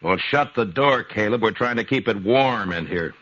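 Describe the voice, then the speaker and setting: A deep-voiced, steady frontier marshal. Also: male voice, normal speed, slight background noise. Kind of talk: 1950s radio drama acting. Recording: dull lo-fi vintage radio recording.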